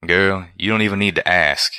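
A low-voiced, folksy pegasus stallion, played by a male narrator in a storybook. He is blunt and bashful, drawling his country phrases in a forceful, dry-recorded voice. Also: steady tone, normal speed, clean tone, no room sound. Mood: flustered, sheepish.

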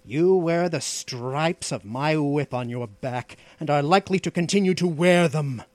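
Arrogant outlaw plotter played by a male reader, imperious and mocking, highish male voice, hugely animated, fantasy audiobook. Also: measured pace, no room sound. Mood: impatient, cutting. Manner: imperious, mocking, theatrical declamation. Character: ambitious, scheming, arrogant male outlaw plotter, impatient master. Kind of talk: dramatic fantasy audiobook dialogue.

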